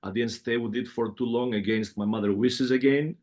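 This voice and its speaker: A middle-aged man with a heavy Greek accent, telling his life story in a relaxed interview, full of fillers and restarts. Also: medium pitch, fairly quick. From Greece. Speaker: music producer guest. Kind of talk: casual interview conversation.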